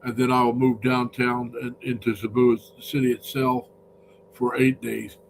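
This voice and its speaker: A man hosting a casual live stream, his voice deepened by a cold, rambling and greeting viewers by name. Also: flat delivery, a little slow, vocal fry, a little hiss or hum, rough recording.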